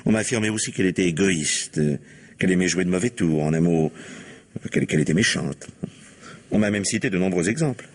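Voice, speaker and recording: An actor playing a sly, insistent police commissioner in a French radio mystery, probing with irony and pointedly repeating answers. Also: male voice, low-pitched, fast. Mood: ironic, insistent. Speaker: detective.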